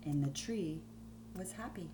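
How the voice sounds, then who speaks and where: Lowish-voiced woman reading a story aloud. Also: animated, measured pace, noisy background. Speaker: teacher. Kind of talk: children's read-aloud storytelling.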